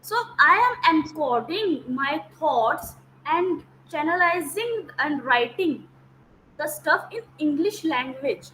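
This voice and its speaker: High bright-voiced woman teaching online. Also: lively intonation, slow pace, slight background noise, low-quality recording. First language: Hindi. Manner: explains step by step, repeats key terms, checks understanding. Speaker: academic counsellor. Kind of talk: online lecture. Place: online class. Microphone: video call.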